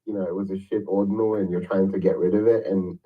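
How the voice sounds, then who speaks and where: A man's voice: sits low, flat delivery, fast talker, recorded from a distance, narrow, tinny audio. A man chatting casually as co-host, brash and swearing freely, laughing often. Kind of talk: casual chat.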